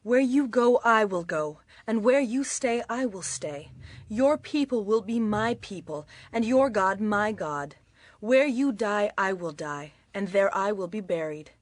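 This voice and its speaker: An actress playing a devoted, humble young widowed daughter-in-law with earnest, emphatic delivery in an audio Bible drama. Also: female voice, medium pitch, expressive, measured pace, dry recording. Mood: resolute, impassioned.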